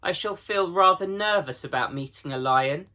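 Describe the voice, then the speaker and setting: A man's voice: high-pitched, normal speed, pushed and bright, pitched above the performer's own voice. A man voicing a polite, cautious older girl in a children's storybook, hesitant and nervous. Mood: nervous, questioning. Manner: hesitant, proper.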